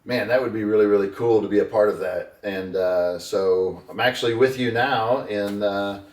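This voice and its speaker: A man's voice: on the low side, normal speed. A middle-aged man hosting a podcast, talking in an enthusiastic, rambling way with many fillers. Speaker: podcast host. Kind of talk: casual interview chat. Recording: roomy, distant from the mic.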